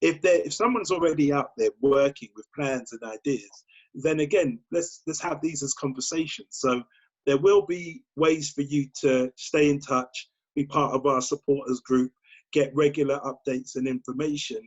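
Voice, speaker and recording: Man, webinar presenter, informal, encouraging, somewhat high pitch, lo-fi sound. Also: normal speed. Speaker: presenter and shareholder. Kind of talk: webinar Q&A answers. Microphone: video call. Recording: dull lo-fi video call audio.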